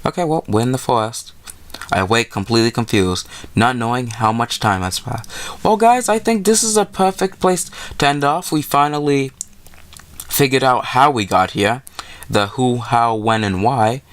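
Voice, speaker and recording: A man's voice: medium pitch, moderate pace. A man hosting a gaming video, reading a visual novel aloud and adding casual, informal asides.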